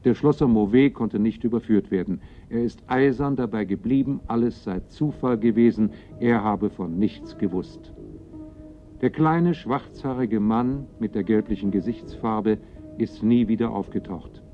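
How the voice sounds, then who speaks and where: A man's voice: on the low side, a little slow, dull audio. Male radio play narrator over faint music.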